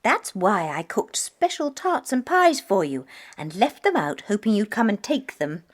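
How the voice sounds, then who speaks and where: A woman's voice: medium pitch, sing-song, measured pace. A woman playing a kindly, pitying household cook in an audiobook dramatization. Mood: tender, pitying.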